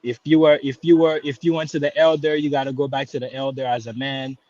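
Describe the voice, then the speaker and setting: A man's voice: medium pitch, little pitch movement, quick pace, darkish tone, muffled recording. A man in his thirties, born in Haiti and raised in Florida, calling in to a live stream and talking casually with many restarts and fillers. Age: 30s. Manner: hesitant, many restarts and fillers, laid-back slang. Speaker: caller.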